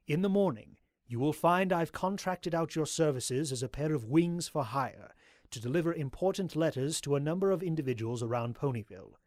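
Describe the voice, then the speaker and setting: A man's voice: fairly high pitch, a little mellow, no room sound, studio quality, natural voice. A man playing a zealous, courteous pony cult leader in an audio drama, speaking in a formal, grandiose way.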